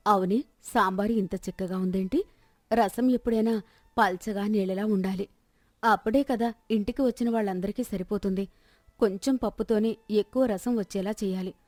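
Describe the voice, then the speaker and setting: Nagging, penny-pinching lady guest acted by a woman for a storybook tale, mellow delivery. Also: medium pitch, steady tone, normal speed, no room sound, natural voice. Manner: nagging, advising. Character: miserly, bossy female houseguest and relative.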